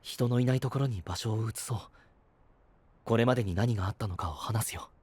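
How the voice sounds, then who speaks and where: A male actor playing a gentle, responsible young knight in an audio drama, soft-spoken and earnest. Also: on the low side, fairly quick, slightly rough. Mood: calm, reflective. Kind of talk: Japanese anime-style audio drama acting.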